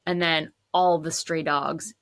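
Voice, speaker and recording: A woman in her thirties, a songwriter and composer, chatting casually about her work in a low voice with lots of "like.". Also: slow. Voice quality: says she has a low voice. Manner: chatty, says "like" often, relaxed. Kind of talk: casual storytelling to camera.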